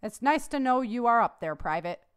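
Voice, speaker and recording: A woman reads a wealthy, formidable male landowner in a storybook. He speaks courteously yet insistently, with a smooth, animated voice. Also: a little high, moderate pace, close to an everyday voice.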